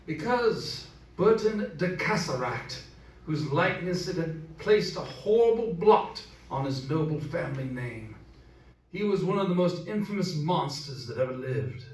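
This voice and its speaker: An actor playing a grave, formal village reverend who tells dark legends in an amateur radio drama. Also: male voice, fairly high pitch, big pitch swings, unhurried. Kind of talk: amateur old-time radio drama acting. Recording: echoey room, distant microphone.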